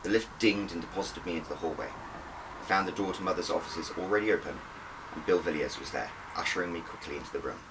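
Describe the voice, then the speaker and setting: Male author-narrator: deep, expressive first-person storytelling, recorded slightly off the mic. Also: quick pace. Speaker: author reading own audiobook. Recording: distant mic with faint background noise.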